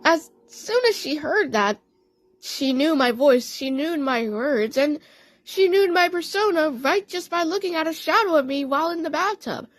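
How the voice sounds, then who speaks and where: A woman narrating a fan audio play as a first-person story, with a halting, dramatic delivery. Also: high voice, animated.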